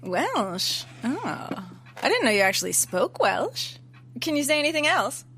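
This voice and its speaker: A woman's voice: sits high, sing-song, measured pace, a bit bright, a little hiss or hum, heavily acted. A woman in an audio drama playing a skeptical, wry listener with a casual, questioning way of talking. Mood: puzzled. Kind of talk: naturalistic audio drama dialogue.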